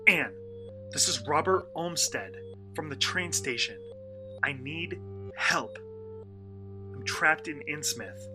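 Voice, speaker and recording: Male actor for a hunted survivor in horror audio drama: frightened, faltering, profane, deliberate pace, clear musical underscore. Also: fairly high pitch, expressive, music in the background. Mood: desperate pleading. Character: terrified, desperate man hunted by monsters, in hiding.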